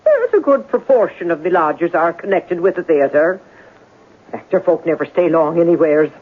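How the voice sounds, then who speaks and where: A woman's voice: fairly low pitch, sing-song, normal speed. An actress playing a nervous, evasive middle-aged landlady with colloquial, uneducated speech in an old-time radio drama. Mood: brisk, businesslike. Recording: tinny band-limited vintage radio recording.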